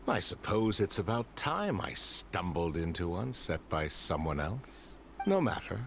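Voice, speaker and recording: Suave low-voiced crime boss villain. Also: male voice, fairly bright tone, a little hiss or hum, heavily acted. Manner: polite, measured, sardonic, theatrical. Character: suave, theatrical male crime boss financier, bitterly polite villain. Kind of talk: video game voice acting.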